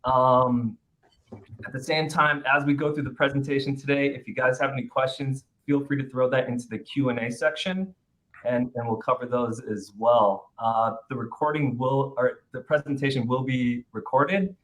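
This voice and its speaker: A man's voice: medium pitch, steady tone, normal speed, fairly bright tone, rough recording. A man hosting a webinar over a video call, upbeat and chatty as he welcomes attendees. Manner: upbeat, chatty, many fillers and restarts. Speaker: webinar host. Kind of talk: webinar presentation.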